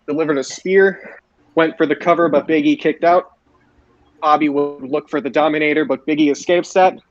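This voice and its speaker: A man's voice: fairly high pitch, no echo, lo-fi sound. A man hosting a podcast, recapping wrestling shows for his co-hosts. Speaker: podcast host.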